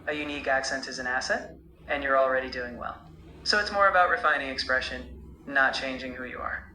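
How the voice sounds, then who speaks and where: Bright-toned AI tutor voice, male. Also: medium pitch, roomy sound, a little hiss or hum, poor audio. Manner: warm, measured, coaching. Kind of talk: encouraging spoken feedback.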